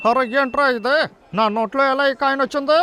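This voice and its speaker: A man's voice: very high voice, fairly even intonation, quick pace, noisy background. A man voicing a hot-tempered, greedy male hunter in a dubbed cartoon, blustering and grumbling. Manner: shouty, blustering, grumbling complaints. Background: music.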